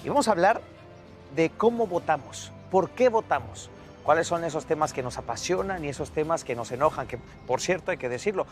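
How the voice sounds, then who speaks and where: A male news presenter with a slightly high voice, speaking over a faint music bed. His delivery moves between scripted narration and interview questions. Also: animated, a little fast.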